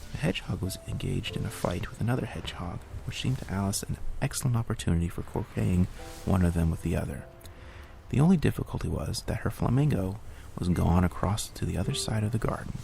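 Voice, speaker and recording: Deep gravelly bedtime storyteller. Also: male voice, slight background noise. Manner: steady, unhurried storybook narration. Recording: soft music underneath.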